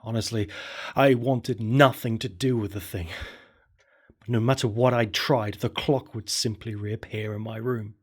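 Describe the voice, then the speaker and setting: A man's voice: on the low side, steady tone, unhurried. A man telling a first-person horror story in a somber, murmuring way.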